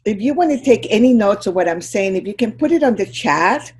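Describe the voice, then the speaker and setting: A woman's voice: mid-range voice, lively intonation, brisk, a little mellow. An older woman from Chile, a guest speaker giving a heartfelt, reflective talk on a video call.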